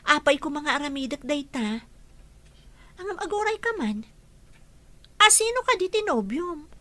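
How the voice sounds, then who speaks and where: A woman with an Ilocano accent playing an excitable, teasing young bride-to-be in a radio comedy-drama. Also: sits high, a little slow, dry recording, full character voice. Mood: surprised.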